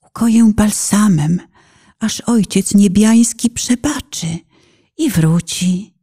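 A woman narrator reciting devotional poetry in a solemn, fervent, dramatic manner with pauses and drops into whispers. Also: mid-range voice, dark tone, breathy edge.

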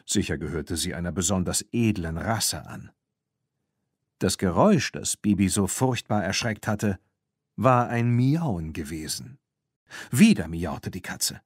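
Male audiobook narrator for children's stories, deep-set husky voice. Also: no room sound, clean studio sound.

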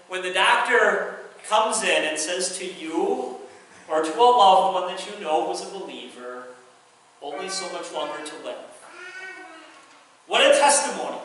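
A man's voice: high voice, sharp tone. A young man preaching a sermon from a church pulpit, earnest and repetitive in his emphasis. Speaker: pastor. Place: large church sanctuary. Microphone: pulpit microphone, filmed from far below. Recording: very echoey church from far off.

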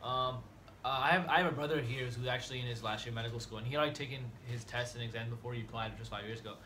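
A man's voice: mid-range voice, fairly even intonation, very fast, pushed and bright, a little hiss or hum. A man, chatting casually and a bit slangy in a small dorm room, laughing easily. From United States. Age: 20s. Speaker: medical student guest. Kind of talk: casual chat.

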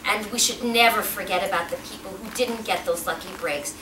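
A woman's voice: mid-range voice, brisk, background noise. Woman, forties, guest speaker at a podium; roomy hall sound, mic at a distance. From Pakistan. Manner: chatty, engaging the audience with questions. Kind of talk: personal talk at a podium. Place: event hall with a backdrop, indoors. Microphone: podium mic, filmed from a distance.

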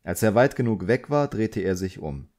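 Male audiobook narrator with a low voice, reading scripted fiction. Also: expressive, moderate pace, a little mellow.

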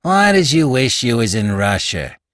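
A man's voice: medium pitch, sing-song, moderate pace, fairly bright tone, dry recording, studio quality. A man voicing a blunt, argumentative London cabman with a needling, scornful manner for a storybook.

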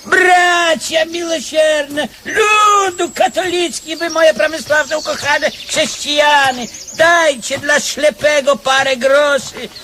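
An actor playing a crafty, grumbling blind beggar in a radio play, with rustic eastern Polish borderland speech and a theatrical alms-seeking chant. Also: male voice, very high voice, fairly even intonation, slow, hardly any pauses, pushed and bright, shouting, a little hiss or hum, heavily acted. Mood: pleading, declaiming.

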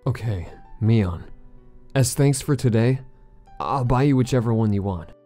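A man's voice: medium pitch, animated, measured pace, dark tone, faint music behind, natural voice. A man playing an awkward, earnest teenage schoolboy in an anime fan dub, speaking hesitantly.

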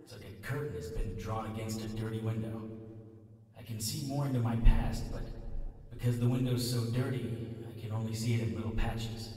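A man's voice: lowish voice, fairly even intonation, normal speed, warm tone, natural voice. A man playing a brooding, wry, questioning hero with lost memory in a radio drama. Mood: wry, uneasy. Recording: very echoey hall, distant from mic.

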